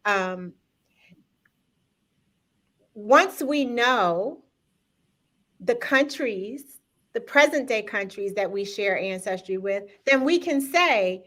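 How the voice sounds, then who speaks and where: A woman's voice: medium pitch, pushed and bright. A woman hosting a live-streamed talk show, speaking directly to viewers in an upbeat, engaging way. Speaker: host.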